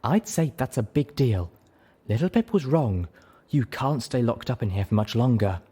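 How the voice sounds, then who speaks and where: A man's voice: medium pitch, animated, moderate pace, mellow, husky. Man acting a huffy, exasperated adult pony mare. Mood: argumentative. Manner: huffy, scolding. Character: two arguing adult pony mares, exasperated, scolding.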